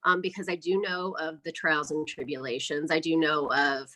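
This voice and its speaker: A woman's voice: low-pitched, fairly even intonation, normal speed, pushed and bright. A woman speaking casually and chattily as an interview guest, explaining her work as an independent filmmaker.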